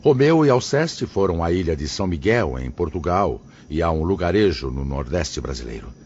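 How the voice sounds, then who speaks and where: Male actor for venerable Brazilian spirit mentor: very low, expressive, paternal, formal; muffled audio drama recording. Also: moderate pace. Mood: kindly. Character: venerable kindly male spirit master, gentle and solemn. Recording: muffled, noticeable background hiss.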